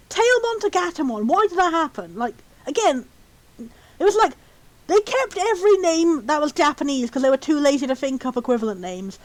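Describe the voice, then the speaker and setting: The voice sits high and could be a man's or a woman's. It hosts in a loose, casual commentary style. Sentences halt, circle back and wander off into tangents as the speaker rambles along. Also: no echo, low-quality recording. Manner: rambling, halting, full of tangents and chuckles. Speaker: host of a video series.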